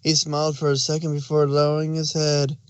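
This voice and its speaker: A man's voice: a little high, monotone, brisk, a little mellow, smooth, no room sound. A man narrating a fan fiction story, reading it aloud.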